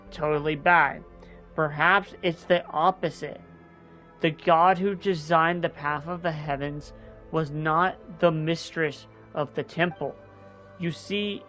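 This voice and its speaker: A man's voice: on the high side, a bit bright. A man voicing a weary, guilt-ridden old scholar of gods, reading earnestly with small restarts.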